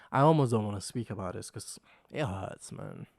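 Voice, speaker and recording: A man's voice: fairly low pitch, creaky, a little mellow. A young man from the Philippines, raised in London from his teens, hosting a casual solo vlog, rambling and repeating himself. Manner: rambling, repeats himself, frequent "you know what I'm saying". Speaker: vlog host. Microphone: camera microphone.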